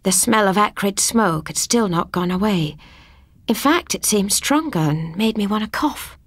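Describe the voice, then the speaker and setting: A woman narrating a scripted first-person ghost story as an audiobook reader, with a husky voice. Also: mid-range voice, lively intonation, normal speed, no room sound.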